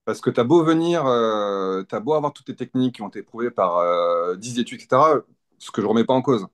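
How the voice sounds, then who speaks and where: A man's voice: medium pitch, expressive, fairly quick, fairly bright tone. A man in his fifties, a physiotherapist explaining his work as an interview guest in casual talk, thinking aloud and often rephrasing as he goes. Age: 50s.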